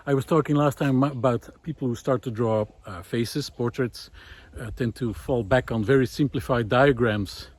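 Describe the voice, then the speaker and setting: A man's voice: medium pitch, darkish tone, dry recording. Musing man thinking aloud on the trail. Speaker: host of a recorded monologue series. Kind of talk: casual unscripted philosophical monologue. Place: outdoors on a woodland trail.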